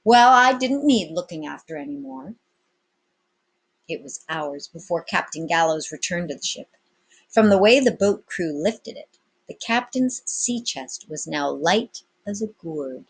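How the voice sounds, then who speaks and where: A woman reading a story aloud expressively like a teacher, pausing for casual explanatory asides. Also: lowish voice, expressive, slightly rough.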